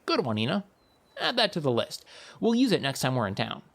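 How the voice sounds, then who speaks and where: A woman's voice: sits low, huge pitch swings, brisk, gravelly, full character voice. A woman voicing a carefree, theatrical uncle who travels for adventure and talks in a playful, wordy, mock-grand way. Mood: breezy, enthusiastic.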